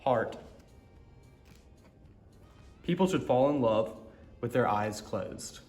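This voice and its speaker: A man's voice: mid-range voice, animated, slow pace, a little mellow. A man reading a picture book aloud for a story time, alternating single words with short sayings. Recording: echoey room with music.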